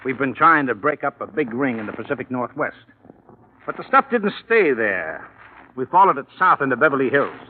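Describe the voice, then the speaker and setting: Casting: male actor as businesslike government narcotics agent, vintage radio crime drama, lively pitch, thin band-limited sound. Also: normal speed, lightly acted. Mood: businesslike, confiding. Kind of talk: 1940s-50s radio drama acting. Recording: tinny, band-limited old radio recording with noise.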